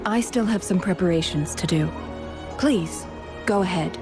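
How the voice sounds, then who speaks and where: Woman voicing a poised, low-pitched young female strategist. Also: over music, lightly acted. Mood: composed, thoughtful. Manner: measured, formal, poised phrasing. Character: composed, courteous woman strategist in a fantasy game. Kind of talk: scripted video game dialogue.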